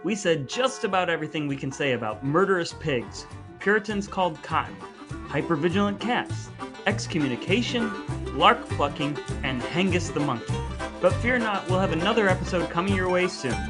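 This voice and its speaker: A man co-hosting a podcast, reading playful scripted patter in a mock-formal, wordy manner. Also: on the high side. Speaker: podcast co-host. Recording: music playing behind the voice.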